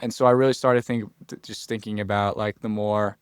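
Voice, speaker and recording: A man's voice: fairly low pitch, steady tone, fairly quick, clear, resonant tone, dry recording, clean studio sound. A young man from Florida, a college freshman guest on a podcast, talking earnestly and thinking aloud. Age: young adult. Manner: earnest, thinks aloud with restarts and quoted asides. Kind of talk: casual interview chat.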